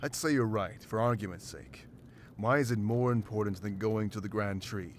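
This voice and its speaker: A man's voice: lowish voice, normal speed, a little hiss or hum, natural voice. A man playing a guarded, pragmatic rebel companion in a fantasy video game. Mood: impatient.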